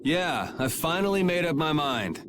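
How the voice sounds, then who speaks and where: A man's voice: a little high, sing-song, moderate pace, background noise. A man playing a cocky, laid-back young rebel swordsman in an anime video game. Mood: resolved, casual.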